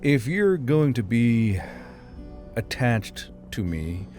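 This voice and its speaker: A man voicing a calm male soldier in an audiobook, firm but gentle, speaking low and measured. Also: faint music behind. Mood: gently firm.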